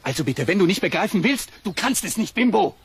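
A man's voice: sits high, big pitch swings, a little fast. An actor playing a firm, worried middle-aged father and doctor in a children's audio drama. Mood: stern, exasperated. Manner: earnest, firm, easily exasperated.

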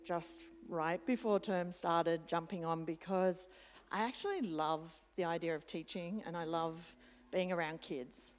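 Woman talking in a reverberant church. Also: lowish voice. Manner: chatty and animated, acting out classroom moments. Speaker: scripture teacher and school chaplain. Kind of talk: casual interview on stage. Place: church sanctuary. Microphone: handheld mic. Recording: long church hall reverb.

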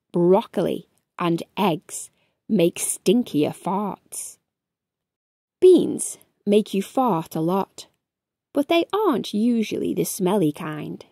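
Young woman doing kids storytime, mellow but really animated, expressive reading. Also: medium pitch, creaky, dry recording, studio quality. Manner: expressive, deliberate, addressing young children. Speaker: storytime host. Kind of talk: children's book read aloud. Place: small furnished room indoors.

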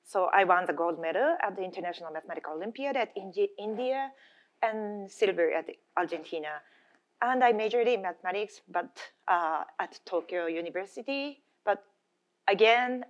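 A middle-aged woman with a Japanese accent giving a conference presentation in halting English, searching for words as a non-native speaker. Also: on the low side. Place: indoor conference room, at a lectern. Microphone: gooseneck lectern microphone.

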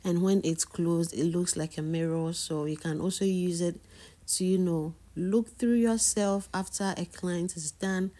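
A woman speaks in a lowish, mellow voice, chatty and enthusiastic, sprinkling in "you know" as she walks through a small indoor salon. She sounds like a casual vlogger filming on a handheld camera. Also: steady tone, measured pace. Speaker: wig maker and hairstylist giving a salon tour. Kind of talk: casual home studio tour vlog.

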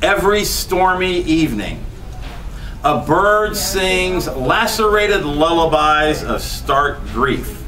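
A man in his fifties giving a poetry reading with chatty, laughing asides in a small bookstore room. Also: on the high side. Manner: animated, gesturing, laughs between lines. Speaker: poet. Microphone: far camera, no mic. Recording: voice from a distance.

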